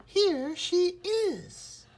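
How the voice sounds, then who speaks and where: A man voicing a little wee bear cub in a storybook, with a squeaky falsetto and a whiny, upset manner. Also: expressive, extremely slow, exaggerated voice. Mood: outraged.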